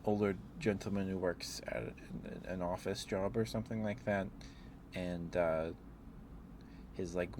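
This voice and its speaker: Slow, low-voiced man rambling in ASMR. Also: background noise. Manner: rambling, hesitant. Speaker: streamer.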